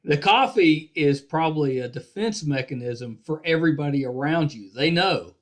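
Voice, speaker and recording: A man's voice: on the high side, lively intonation, normal speed. A man in his thirties hosting a casual interview in an easygoing way, repeating phrases for emphasis. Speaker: podcast host, interviewer.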